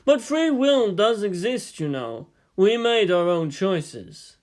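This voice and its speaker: A wry, middle-aged former admiral acted by a man in a story reading, pitched rather high. He is lazy and mild, with a philosophical turn. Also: big pitch swings, takes it slowly, darkish tone, exaggerated voice.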